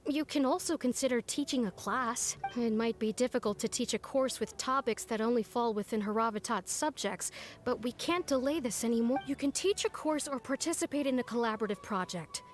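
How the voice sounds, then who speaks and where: A woman voicing a stern, formal academy official in an anime-style game, measured, officious and politely insistent. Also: fairly high pitch, close to an everyday voice. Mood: firm, insistent. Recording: game dialogue over music.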